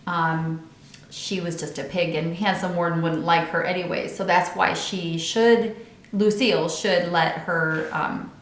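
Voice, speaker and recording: Woman reading to children, echoey room. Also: fairly low pitch. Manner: chatty and informal, addressing young listeners. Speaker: read-aloud host. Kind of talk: children's book read-aloud with casual asides.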